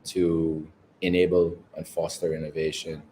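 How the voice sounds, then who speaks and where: A man from Barbados, a guest co-founder, giving measured, articulate interview answers from home. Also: very deep voice, little pitch movement, dark tone, slight background noise. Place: home overlooking the ocean.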